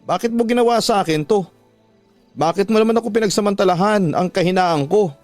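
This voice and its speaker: A man's voice: sits high, brisk, darkish tone, no echo, soft background music, voice pushed up, close to an everyday voice. A man playing a hurt young man who reproaches a trusted friend in a radio drama. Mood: hurt, accusing. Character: Eldar, hurt young man confronting his betraying friend.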